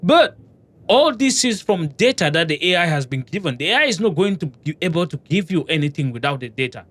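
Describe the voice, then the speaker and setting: Man with a slightly high voice, talking with hesitation. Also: big pitch swings, a little fast, a bit bright. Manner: hesitant, many fillers and restarts. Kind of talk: casual discussion.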